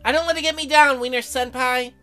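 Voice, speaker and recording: A woman's voice: fairly even intonation, quick pace, bright, forceful tone, soft background music. A woman voicing a sweet, eager, easily flustered schoolgirl class president in a visual novel, with a girlish voice. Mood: cheerful, eager. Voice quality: high, girlish.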